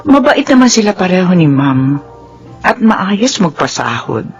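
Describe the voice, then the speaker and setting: A woman's voice: fairly low pitch, big pitch swings, unhurried, faint music behind. A woman acting as a devoted, long-suffering middle-aged widowed nanny and mother in a Filipino radio drama. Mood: reflective. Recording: dull, noisy radio drama recording.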